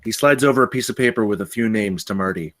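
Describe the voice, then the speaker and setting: Low-voiced man narrating a script. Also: quick pace, fairly bright tone. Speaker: stage directions reader. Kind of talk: scripted narration at a film table read.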